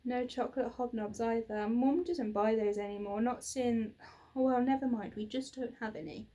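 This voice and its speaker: Woman as an earnest young girl, high voice. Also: normal speed, dark tone, natural voice. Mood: apologetic. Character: sensible young girl taking charge of crowd.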